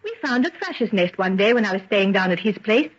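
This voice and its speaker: A woman's voice: medium pitch, animated, a little fast, husky. Tender, lilting genteel young woman. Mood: wistful, fond. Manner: gentle, soothing, coaxing. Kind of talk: golden age radio drama acting. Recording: dull, muffled vintage radio recording.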